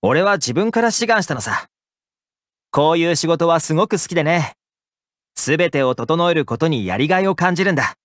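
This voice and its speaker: An actor playing a friendly, caring young housekeeper in an anime-style game, speaking casually and expressively with gentle attentiveness. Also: male voice, fairly high pitch, lively intonation, fairly quick, dry recording, clean studio sound. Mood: earnest, friendly. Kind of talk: Japanese anime game character voice lines.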